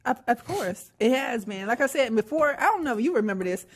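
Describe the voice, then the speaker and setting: Woman, 40s, Atlanta accent, podcast guest, quick talker, emphatic, slangy. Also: fairly high pitch, expressive. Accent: Atlanta Southern. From Atlanta area, USA. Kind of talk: casual chat.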